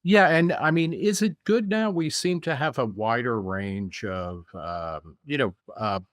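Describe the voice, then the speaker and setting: A man's voice: mid-range voice, unhurried, dry recording. A man hosting an interview podcast, framing his questions hesitantly with many fillers.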